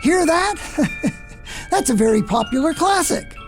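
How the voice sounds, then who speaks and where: A man playing an older, excitable, proud shop owner in a radio play, commanding, self-important and sarcastic. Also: very high-pitched, unhurried, soft background music. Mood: excited, proud.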